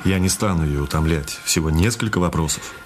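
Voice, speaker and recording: An actor playing a polite but persistent police officer in a radio drama, speaking formally. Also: male voice, rumbling low, a little fast, slight background noise. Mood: polite, insistent.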